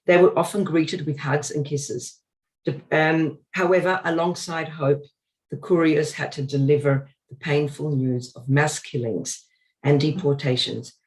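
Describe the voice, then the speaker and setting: A man with a fairly high voice gives an earnest community lecture. He reads parts of it from his notes and fills the gaps with ums and uhs. He explains things in a plain, steady way. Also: poor audio. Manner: hesitant, frequent ums and uhs. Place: synagogue talk.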